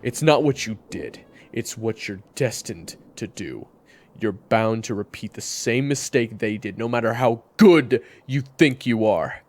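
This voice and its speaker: A man's voice: fairly low pitch, animated, measured pace, darkish tone, pitched above the performer's own voice. A man voicing a grim, vengeful gunslinger who growls threats in an audio drama.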